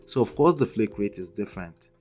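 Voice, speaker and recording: A man's voice: on the low side, lively intonation, fairly quick, a little mellow, soft background music. A man with a thick Nigerian accent hosts a live stream, making emphatic, lecturing points and often checking in with "okay?" and "right?". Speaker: livestream host.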